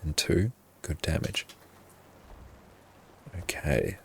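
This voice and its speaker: A man livestreaming his gameplay in a hushed, half-whispered voice, often thanking his viewers. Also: very deep voice, very animated, extremely slow, vocal fry, a little hiss or hum.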